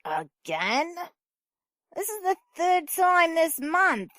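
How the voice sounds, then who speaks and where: A woman's voice: extremely high pitch, big pitch swings, takes it slowly, a bit bright, no echo, studio quality, exaggerated voice. A woman playing a sardonic, teasing, unimpressed neighbour in an amateur audio drama. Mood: irritated.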